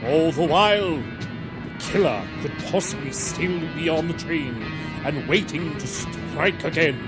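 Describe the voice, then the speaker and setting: A man narrating a radio mystery drama in a dramatic, measured scripted style. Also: fairly high pitch, rough recording. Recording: narration over loud music.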